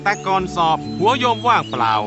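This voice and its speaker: Cartoon monk: kindly teacher, very animated pitch, patient questioning, muffled track under loud music. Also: male voice, brisk. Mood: patient, teasing. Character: kindly Buddhist monk, gently teasing teacher. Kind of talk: children's cartoon voice acting.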